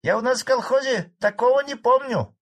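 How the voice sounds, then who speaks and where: Man doing a shrill village woman. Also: brisk. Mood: mocking. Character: nosy village woman heckling from the back.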